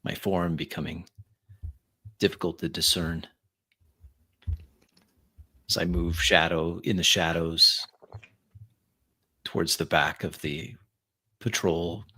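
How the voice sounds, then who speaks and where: Male tabletop gamer. Deep, crisp-edged forceful tone, in-character dialogue and first-person action narration. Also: level tone, takes it slowly, long pauses, no echo. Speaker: roleplay game player.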